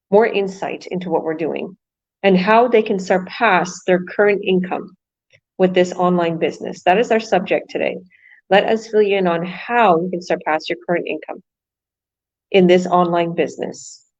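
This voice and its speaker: Woman host, slightly low voice, upbeat sales talk, dull and dry recording. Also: moderate pace, darkish tone, no room sound. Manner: enthusiastic, repetitive sales pitch. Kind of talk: motivational online show host.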